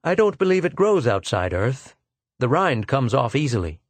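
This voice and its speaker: A man's voice: medium pitch, very animated, normal speed, no room sound. A man voicing a weary, haggard older physicist who speaks in a grim, earnest way.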